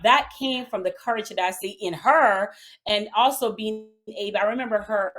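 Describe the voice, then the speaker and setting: A middle-aged woman with a Jamaican accent, a guest entrepreneur chatting casually in an interview and telling her story with animated energy. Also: mid-range voice. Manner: animated storytelling with bursts of laughter.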